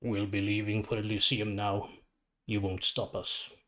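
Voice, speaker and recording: A man voicing a calm, weary, ancient supervillain who guides the dead, speaking in a measured, formal way. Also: lowish voice, pitched below the performer's own voice. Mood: quietly matter-of-fact. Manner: measured, formal, matter-of-fact.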